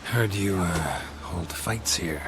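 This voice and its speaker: An actor playing a gruff, terse monster hunter in a video game, gravelly and deadpan. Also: male voice, deep voice, slow, heavy background noise. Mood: terse, dry. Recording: music behind the voice. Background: game music.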